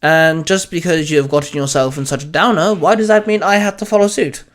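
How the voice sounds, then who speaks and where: A man's voice: on the high side, a little fast, lightly acted. A man voicing a chirpy, knowing shopkeeper who mentors a younger man in an audio story. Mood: teasing.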